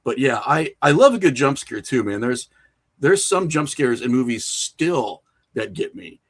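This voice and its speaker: A man talking casually and conversationally as a podcast guest, telling things in an animated, storytelling way. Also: mid-range voice, a little slow, slightly rough. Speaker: podcast guest, horror filmmaker and writer.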